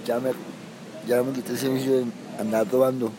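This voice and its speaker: Man, 30s, halting repetitive answers, park interview with busy crowd behind. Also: mid-range voice, flat delivery, rough recording. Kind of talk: street interview. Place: city park outdoors. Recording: outdoor crowd noise. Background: street crowd and traffic.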